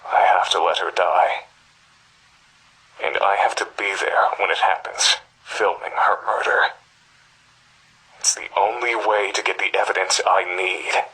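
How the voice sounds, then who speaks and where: Game role: a low-voiced, very husky middle-aged reporter, brooding and afraid, confessing onto a cassette. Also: male voice, steady tone, measured pace, long pauses, exaggerated voice, low-quality recording. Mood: grim resolve. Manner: grave, brooding, breathy half-whispers. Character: haunted, fearful middle-aged male journalist and father. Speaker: voice actor in a game's audio tapes. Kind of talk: dramatic scripted monologue on cassette tape. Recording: sharp, gritty cassette-tape voice recording.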